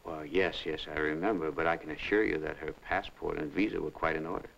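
An American actor playing a troubled, middle-aged consulate employee under questioning, hesitant and defensive, in an old film. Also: male voice, very deep voice, steady tone, fairly quick, vocal fry, a bit bright, full character voice, low-quality recording. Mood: uneasy. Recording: old film soundtrack with hiss.

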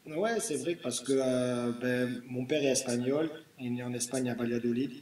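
A man's voice: medium pitch, normal speed, a little mellow. A man in his thirties, a guest answering interview questions over a video call, hesitating often with many fillers and restarts. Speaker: guest footballer. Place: small room with bare wall. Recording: echoey room, distant mic, faint noise.

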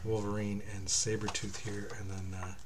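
A man's voice: lowish voice, little pitch movement, moderate pace, over a noisy crowd. A man hosting a comic book review in casual, profanity-laced chat. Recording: clear background noise.

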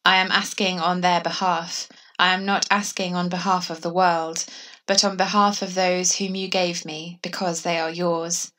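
A woman reading the gospel aloud in an even, measured way. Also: fairly low pitch, recorded from a distance. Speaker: gospel reader.

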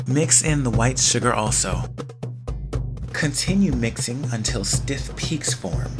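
A man, host of a home cooking show, reading scripted step-by-step recipe narration in a steady instructional way. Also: medium pitch, measured pace. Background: loud music.

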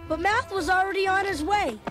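An actor voicing an excitable young boy who narrates a storybook read-along in an earnest, dramatic manner. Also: very high-pitched, a little fast, soft background music. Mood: eager storytelling.

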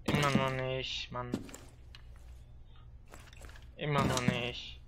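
A man's voice: medium pitch, little pitch movement, very slow. Grumbling male gamer over noisy game audio. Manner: blunt, grumbling, coarse-mouthed. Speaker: gamer, let's-player. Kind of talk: casual gameplay commentary. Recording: noisy home gaming recording with game gunfire. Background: video game sounds, gunfire.

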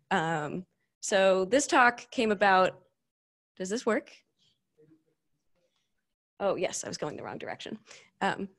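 A woman giving a casual tech meetup presentation to a room, speaking informally with enthusiastic asides. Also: fairly low pitch. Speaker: conference speaker, cloud security engineer. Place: meetup room before a seated audience.

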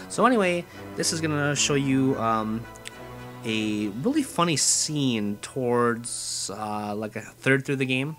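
A man's voice: lowish voice, fairly bright tone. A man giving rambling, hesitant, casual commentary as a video game reviewer. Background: music.

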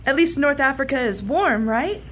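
An actress playing a sassy, protective coworker in a comedic audio drama, wry, teasing and blunt. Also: female voice, high voice, animated, moderate pace, a bit bright, slight background noise. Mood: teasing concern. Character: sassy, protective woman coworker, quick to threaten.

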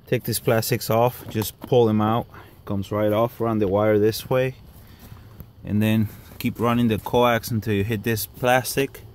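Flat, lowish male DIY instructor. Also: a little slow. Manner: terse step-by-step instructions in short phrases. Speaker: DIY car owner giving a how-to. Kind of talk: informal hands-on tutorial narration. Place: in and beside a parked car, outdoors. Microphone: handheld camera or phone mic. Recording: clear background noise, handheld camera recording.

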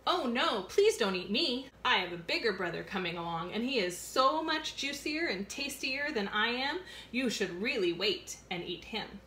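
A woman's voice: a little high, expressive, normal speed, few pauses, pushed and bright, echoey room, a little hiss or hum. A woman voicing a polite, nervous medium-sized billy goat, pleading.

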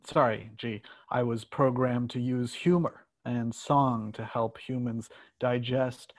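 A man in a play reading, playing a polite, servile talking smart table with a halting, apologetic, sometimes sing-song manner. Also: mid-range voice, fairly even intonation. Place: indoors at home, on a video call.

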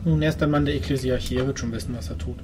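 A man's voice: medium pitch, steady tone, very fast. A man playing a tabletop role-playing game, speaking partly in character. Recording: clear background noise at a game table.

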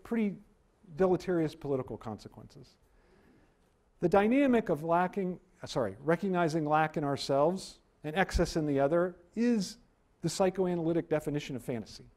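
A middle-aged man giving a university lecture, animated and self-deprecating, losing his voice, recorded by a camera at a distance in a wood-paneled room. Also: high-pitched.

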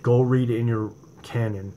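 A man in his forties with a New England accent, preaching informally to his viewers from home and talking into a webcam, swinging from impassioned, rising emphasis to a quieter conversational tone. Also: medium pitch, steady tone, a little slow, a little hiss or hum, low-quality recording. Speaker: religious video host. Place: home room indoors at night. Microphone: webcam or laptop camera from below.

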